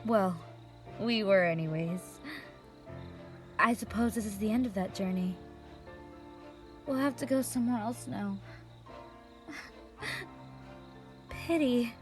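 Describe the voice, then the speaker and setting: Woman acting a slow, calm, menacing wind mage. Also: mid-range voice, close to an everyday voice. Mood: wistful. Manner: measured, resigned, quietly menacing. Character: young female wind mage killer, calm, wistful, unrepentant. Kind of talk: scripted fantasy audio drama. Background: music.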